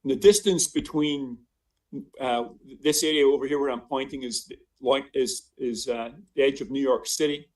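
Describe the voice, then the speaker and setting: A man's voice: medium pitch, darkish tone. An older man with a Scottish accent giving an informal academic lecture, often breaking off and repeating words as he goes. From Scotland. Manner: halting, with frequent self-interruptions and repeated words. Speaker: visiting professor giving a seminar. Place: remote online seminar. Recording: distant mic on online seminar.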